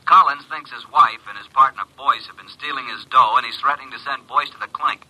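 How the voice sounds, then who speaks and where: An actor playing a smooth, wisecracking private investigator in an old radio drama, with a breezy, understated manner. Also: male voice, mid-range voice, a little fast, pushed and bright, low-quality recording. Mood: insistent.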